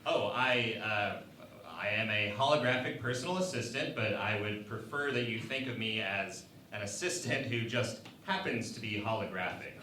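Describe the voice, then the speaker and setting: A man's voice: fairly low pitch, normal speed, pushed and bright, far from the mic. A man playing an earnest, put-upon holographic assistant in a comedic radio play, polite, hesitant and a little whiny. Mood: polite, nervous. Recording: echoey room, off-mic, noisy.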